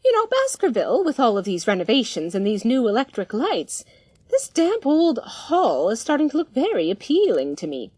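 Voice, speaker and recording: A woman's voice: fairly high pitch, huge pitch swings, normal speed, a little mellow, slightly rough, no echo, full character voice. An actress playing a saucy, teasing mother with an arch manner in an audio drama. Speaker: actor.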